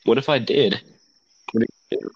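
A man's voice: mid-range voice, fairly quick, a little mellow, breathy edge. A young man bantering casually as a podcast co-host over an online call, with his voice breaking up robotically at times. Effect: choppy robotic connection distortion. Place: remote voice call. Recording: dull lo-fi online call audio.

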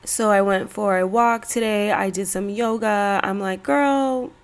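Stuffy-nosed woman with a gravelly edge. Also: mid-range voice, fairly even intonation, a little slow. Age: 30s. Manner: chatty, rambling, slangy, laughs between thoughts. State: stuffy from a cold. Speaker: podcast host. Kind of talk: casual solo podcast chat.

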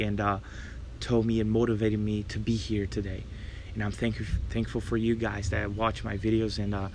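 A man's voice: lowish voice, creaky, background noise. A young man, hosting his own faith podcast and sharing a personal testimony in an earnest, grateful, reflective way. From Brazil. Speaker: podcast host and preacher.

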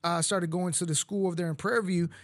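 A man in his thirties from Mexico, hosting a podcast, talking in a quick, joking way with lots of swearing; Spanish was his first language. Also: high voice, steady tone, dry recording, studio quality. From Reynosa, Mexico. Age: 30s. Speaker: podcast host. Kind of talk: casual podcast interview.

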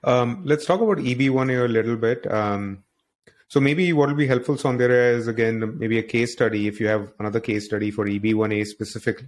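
A man's voice: mid-range voice, fairly quick. A man hosting an interview, asking long, roundabout questions in a conversational way and rephrasing as he goes. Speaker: interviewer, host.

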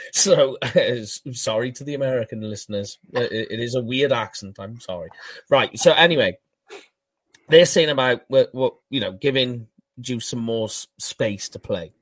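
A Welsh man with a Welsh accent co-hosting a fan podcast, talking football in a casual, animated way and laughing at himself. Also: medium pitch, measured pace, husky, no room sound. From Wales. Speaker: podcast co-host. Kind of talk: casual sports chat.

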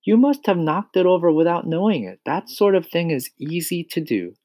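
A man voicing a gentle but firm woman schoolteacher in a storybook, speaking politely and with measured composure. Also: fairly high pitch, lively intonation, dry recording, clean studio sound, pitched above the performer's own voice. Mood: calm, firm.

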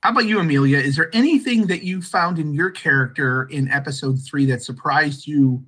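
A man's voice: fairly high pitch, fairly quick, a bit bright. A man hosting a podcast, interviewing guests in a hesitant way and often repeating words. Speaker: podcast host.

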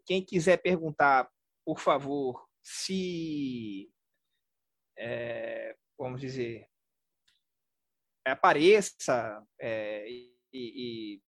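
A man's voice: on the high side, no room sound. A Brazilian man moderating a live debate in a casual, hesitant, improvised way.

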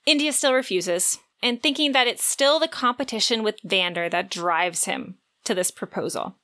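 A woman's voice: medium pitch, lively intonation, normal speed, bright, forceful tone. A woman co-hosting a podcast, retelling a story in a playful, informal way. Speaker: podcast co-host. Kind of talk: lively retelling of a romance novel plot.

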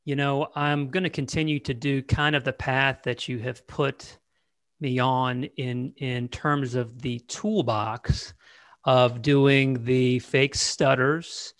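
A man's voice: mid-range voice, level tone, slow pace, fairly bright tone, dry recording. A man in his forties answering as a podcast guest, hesitant, with many fillers and repeated words. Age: 40s. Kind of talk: casual interview answer.

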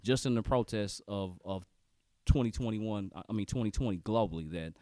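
A man's voice: lowish voice, brisk, darkish tone, no room sound, studio quality. A man in his late thirties co-hosting a podcast in casual chat, laid-back, with lots of "man" and "you know" and hesitant restarts. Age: 30s. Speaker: podcast co-host.

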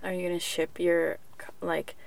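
Very creaky, lowish-voiced woman interviewer. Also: flat delivery, moderate pace. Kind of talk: casual conversational interview. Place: inside a camper van.

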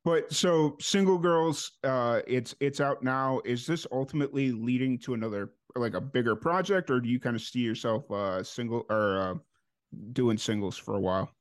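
A man's voice: mid-range voice, normal speed. Laid-back male podcast host. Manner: relaxed, rambling questions, frequent uhs and restarts. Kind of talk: casual interview chat.

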